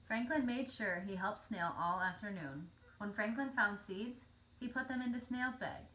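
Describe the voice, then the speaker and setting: A woman reading a children's story aloud as narrator, telling it clearly for young children. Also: medium pitch, normal speed, pushed and bright, low-quality recording. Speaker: storybook reader.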